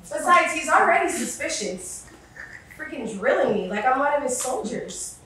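A woman's voice: sits high, big pitch swings, moderate pace, sharp tone, exaggerated voice. A woman acting an evasive, easily exasperated cheating wife in a stage play. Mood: brisk, defensive. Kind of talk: theatrical stage play dialogue. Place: indoor theatre stage, recorded from afar. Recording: echoey stage, distant microphone, faint noise.

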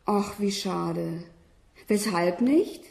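An actress playing a curious, principled young student in a radio play. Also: female voice, medium pitch, drawn-out words, creaky, darkish tone, a little hiss or hum. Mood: polite. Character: Laura Carson, young female student, curious but principled. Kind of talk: radio drama acting.

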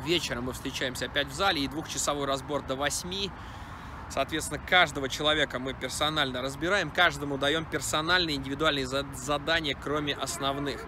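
A man in his thirties, a dating coach, pitching his training straight to camera in a brisk, persuasive, slangy way, filmed close up in an outdoor park. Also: steady tone. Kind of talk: direct-to-camera sales pitch. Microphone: camera at close range. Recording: outdoor street noise behind.

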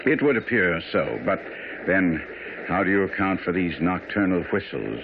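An actor playing a shrewd, self-assured gentleman detective in a radio drama, measured, precise and courteous. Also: sits low, expressive, creaky, narrow, tinny audio. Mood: analytical. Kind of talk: 1970s radio drama acting. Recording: thin, noisy old radio recording.

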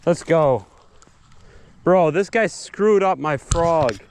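A young man chatting casually and excitedly in bro-heavy slang while fishing outdoors. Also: on the high side, takes it slowly, slight background noise. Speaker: vlogger angler. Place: outdoors beside a pond. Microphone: body-worn action camera.

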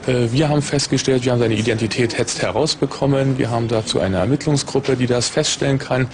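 A man's voice: medium pitch, quick pace, darkish tone. A man in his forties, a uniformed police officer, giving an interview statement in a formal, official way in a police office. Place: open-plan police office, indoors.

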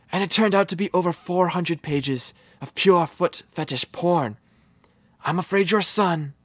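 A man voicing a blunt, deadpan doctor and a teacher in a comic voice audition. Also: a little high, no room sound. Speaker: voice actor. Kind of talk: abridged parody voice audition.

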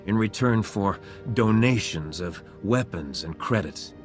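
An actor playing a composed, idealistic resistance politician in a video game, measured and formal. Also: male voice, lowish voice, expressive. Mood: composed, earnest. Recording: music playing behind the voice.

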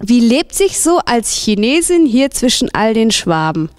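A woman's voice: fairly high pitch, big pitch swings, unhurried. A woman asking conversational questions as an interviewer, holding a handheld microphone.

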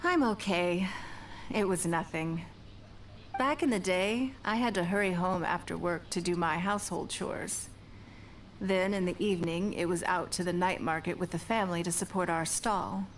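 A woman voicing a poised, shrewd, wealthy businesswoman in a video game, speaking in a measured, genteel, lightly teasing way. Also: lowish voice, slight background noise, natural voice. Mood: reflective, warm. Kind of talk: video game dialogue acting. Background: background music.

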